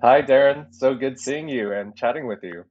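A man at a middle pitch, speaking as a podcast guest. He sounds friendly and thinks aloud as he talks in a casual interview. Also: normal speed, darkish tone, dull audio, soft background music.

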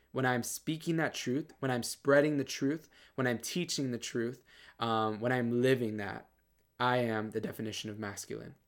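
Earnest soft-voiced man giving testimony. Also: mid-range voice. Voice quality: soft, somewhat feminine-sounding voice. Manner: earnest, lots of fillers and repeated starts. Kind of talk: casual personal testimony.

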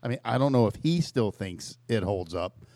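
A man co-hosting a podcast, talking in a low-key, conversational way. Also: expressive, moderate pace, mellow, no room sound, clean studio sound. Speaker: podcast co-host. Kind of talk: casual podcast chat.

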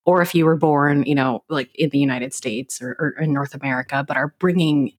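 Low-voiced woman podcast host. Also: a little fast. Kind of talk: casual interview chat.

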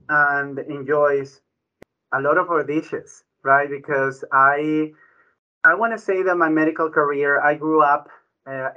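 Male doctor, forceful slightly high voice, muffled lo-fi sound, keeps adding "right?". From Ecuador. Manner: frequent "right?" tag questions, restarts. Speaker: physician guest. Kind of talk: conversational explanation. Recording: dull lo-fi audio.

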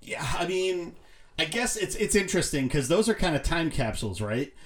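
A man's voice: fairly high pitch, sing-song, moderate pace. A man on a podcast panel talking casually and loosely about films, rambling and correcting himself as he goes. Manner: rambling, self-correcting, hesitant phrasing. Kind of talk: casual movie discussion.

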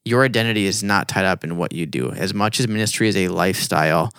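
A man's voice: low voice, fast, fairly bright tone, clean tone, no room sound, clean studio sound. A man in his late twenties co-hosting a youth ministry podcast, talking earnestly and emphatically. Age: 20s. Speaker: youth pastor podcast co-host. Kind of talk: casual podcast chat.

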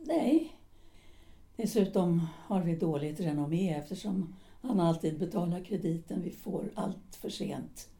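A woman acts out an embittered middle-aged wife and former actress in an audio drama. Her voice is mellow and dark, and her lines carry bitterness and despair. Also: on the low side, lively intonation. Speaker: actor.

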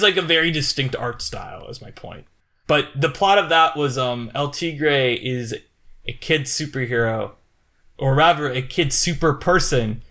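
Bright-voiced man, animated and rambling. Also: mid-range voice. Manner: animated, rambling, acts out imagined quotes. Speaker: podcast co-host. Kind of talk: casual film chat.

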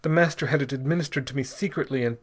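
Man acting a gasping, desperate poisoned gentleman. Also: medium pitch, close to an everyday voice. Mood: horrified, imploring. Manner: halting, formal, pleading speech. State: gasping, unsteady. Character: poisoned Argentine gentleman, desperately polite, gasping, fighting madness.

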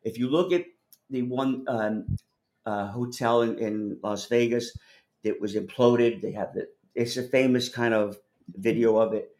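A man's voice: medium pitch, steady tone, measured pace, a little mellow, a little hiss or hum. A man with a mid-range voice hosts a casual discussion. He explains things in a blunt, rambling fashion and swears freely as he goes. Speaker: host of a call-in discussion. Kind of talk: casual chat.

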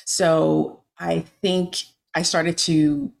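Woman in her forties, lowish voice, reflective, halting, frequent restarts. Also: little pitch movement, vocal fry. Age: 40s. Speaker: interview guest, coach. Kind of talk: conversational interview storytelling.

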